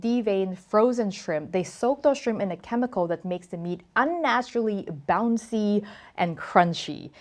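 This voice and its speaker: A woman's voice: mid-range voice, a little mellow. A woman from Thailand hosting a casual cooking tutorial, talking to camera in a chatty, playful, emphatic way. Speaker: cooking show host.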